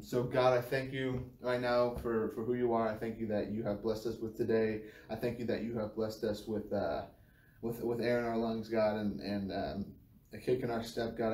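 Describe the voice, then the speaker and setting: An American man in his thirties giving an informal, halting devotional talk, often repeating phrases as he searches for the next one. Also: fairly low pitch, warm tone. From United States. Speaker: church speaker giving a devotional. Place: small bare-walled room indoors. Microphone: phone camera a few feet away. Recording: roomy, distant phone mic, faint hiss.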